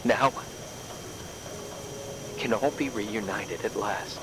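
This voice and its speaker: A grim, insistent man in a dramatic video game. His voice is lowish and quiet, with terse, probing delivery. Clear background noise surrounds it. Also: slow pace. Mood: grave, resolved. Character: grown man, grimly insistent, seeking a buried truth. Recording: clearly noisy ambience behind the voice.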